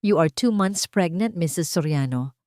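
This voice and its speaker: Woman narrator as a gentle filipino doctor, hesitant and amazed, pitch moving around a lot, audio drama. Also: animated, normal speed, no echo, studio quality, close to an everyday voice. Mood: amazed, careful.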